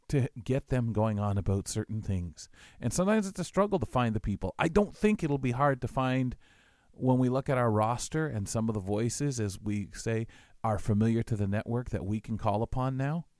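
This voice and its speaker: A man's voice: lowish voice, darkish tone, dry recording, clean studio sound. A man hosting a radio show, talking to listeners in a casual, reflective, rambling way. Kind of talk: casual spoken commentary to listeners.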